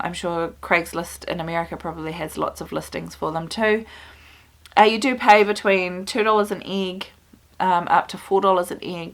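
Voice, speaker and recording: Kiwi lady with a croaky gravelly voice, lowish, chatty how-to with lots of ums. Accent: New Zealand. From New Zealand. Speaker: homestead video host. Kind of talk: casual tutorial. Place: small music studio indoors.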